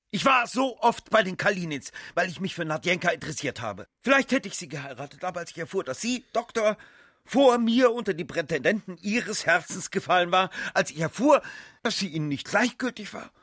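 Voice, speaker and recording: Radio drama: mocking, evasive gentleman, highly animated, slightly rough-edged, dry recording. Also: fairly quick, dry recording, exaggerated voice. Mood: irritated, defensive. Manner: flippant, mocking. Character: evasive, teasing gentleman, cynical ladies' man. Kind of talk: German radio drama acting.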